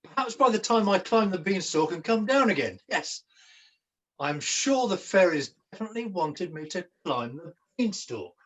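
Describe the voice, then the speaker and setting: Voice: medium pitch, big pitch swings, normal speed, fairly bright tone. An amateur actor playing a melancholy, gullible pantomime jester with a theatrical, declamatory delivery, recorded at home over a video call. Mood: musing. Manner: theatrical, declamatory, archaic wording.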